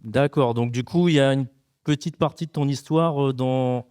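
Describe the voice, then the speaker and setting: Smooth-voiced hesitant male interviewer. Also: mid-range voice, darkish tone, no echo, clean studio sound. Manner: hesitant, many filler pauses. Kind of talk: festival interview.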